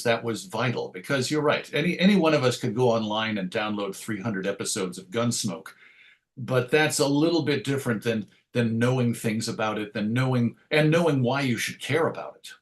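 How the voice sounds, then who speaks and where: A man with a mid-pitched voice talks in a relaxed interview setting as the guest. He is thoughtful and anecdotal, often slipping into quoted asides to make a point. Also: moderate pace. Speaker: interview guest, radio show host. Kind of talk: conversational interview.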